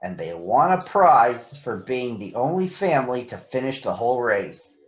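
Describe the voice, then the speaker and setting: A man reading a children's story aloud in a deliberate, expressive storytelling manner. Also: mid-range voice. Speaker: storybook reader for children on a recurring show. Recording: echoey room, slightly dull sound.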